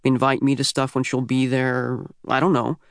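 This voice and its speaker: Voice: medium pitch, little pitch movement, normal speed, dry recording, clean studio sound, natural voice. A man voicing a geeky teenage schemer for an audiobook, awkward and evasive, persuading in a casual, hedging way. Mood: wheedling, defensive.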